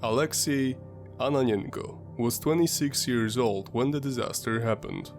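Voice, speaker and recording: Brief: male host, stuffy sinus-congested voice, mid-pitched, measured documentary read, faint music underneath. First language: Polish. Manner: measured, explanatory storytelling. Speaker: history channel host. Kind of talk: scripted documentary narration.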